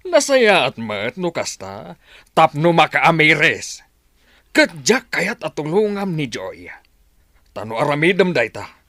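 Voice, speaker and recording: A man's voice: fairly high pitch, huge pitch swings, takes it slowly, bright, forceful tone, no room sound, studio quality, heavily acted. A man playing a stern, bitter middle-aged father in an Ilocano audio drama, speaking dismissively and with scorn. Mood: scornful. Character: stern, bitter father who has given up on his daughter.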